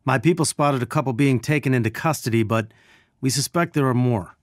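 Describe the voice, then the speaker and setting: An actor playing an earnest scientist who leads a resistance, guiding heroes through video game missions in a measured, dryly wry way. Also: male voice, mid-range voice, no room sound, studio quality, lightly acted. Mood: concerned, focused.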